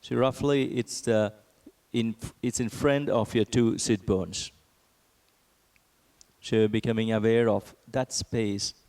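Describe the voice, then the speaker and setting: A man teaching a guided meditation, speaking in a gentle, measured manner with long pauses between phrases. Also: fairly low pitch, steady tone.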